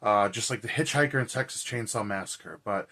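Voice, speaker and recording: A man with a hoarse, raspy, tired voice, hosting a vlog in a casual, rambling, slangy way. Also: lowish voice, a little fast, pushed and bright. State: hoarse and tired after a cold and shouting. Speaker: horror movie reviewer and vlog host. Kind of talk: casual chat to camera.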